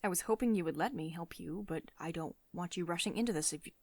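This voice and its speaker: A woman's voice: on the low side, fast talker, darkish tone, dry recording. A woman playing a commanding, protective tech CEO who is secretly devoted and tender, in an intimate ASMR roleplay monologue. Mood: surprised, hopeful.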